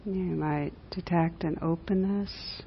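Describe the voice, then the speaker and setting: Low, even-voiced woman guiding meditation. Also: vocal fry. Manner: gentle, unhurried with long pauses. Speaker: meditation teacher. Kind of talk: guided meditation. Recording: dull lo-fi recording with rumbling background noise.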